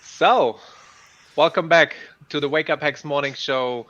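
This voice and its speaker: A man's voice: on the high side, a little slow, fairly bright tone, no room sound, muffled recording. Upbeat male show host. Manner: upbeat, greets chat viewers by name. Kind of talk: casual morning show chat.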